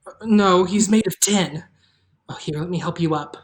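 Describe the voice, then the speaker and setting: A woman's voice: lowish voice, normal speed, lightly acted. A woman playing a plucky, protective young girl in a table read, earnest, by turns scolding and kindly. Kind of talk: table read of an audio drama.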